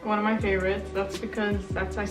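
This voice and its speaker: A woman's voice: mid-range voice, little pitch movement, brisk. A twenty-one-year-old woman answering couple questions in a casual vlog, giggly and a bit hesitant. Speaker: vlogger. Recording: roomy echo, mic far off, music behind.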